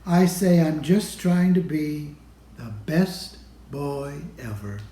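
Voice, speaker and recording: Slow-paced man reading a picture book, roomy sound. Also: fairly high pitch, dark tone. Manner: deliberate, pausing between phrases to show pictures. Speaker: storybook reader. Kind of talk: read-aloud of a children's picture book. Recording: roomy, echoey room sound.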